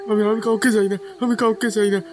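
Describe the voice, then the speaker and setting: A man's voice: high-pitched, flat delivery, a little fast, darkish tone, slight background noise, natural voice. An actor playing a spoilt twenty-year-old heir in a radio drama who stammers when he grows emotional, with music underneath. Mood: pleading. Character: young man of twenty, spoilt heir, later pleading.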